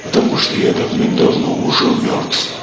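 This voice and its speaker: A man's voice: moderate pace, distant mic, a crowd in the background, natural voice. A man voicing a grim, devout Russian cyborg cosmonaut, solemn and fatalistic, in a motion comic. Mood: bleak, resigned. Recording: echoing voice under loud music.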